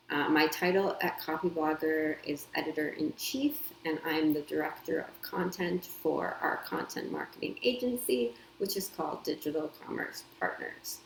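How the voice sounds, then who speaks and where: Woman, thirties, low pitch, echoey room; casual vlog chat, relaxed, filler-heavy. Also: a little hiss or hum. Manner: chatty, frequent ums and small laughs. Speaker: copywriter and editor. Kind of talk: casual talk to camera. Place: home. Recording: echoey room with faint ambience.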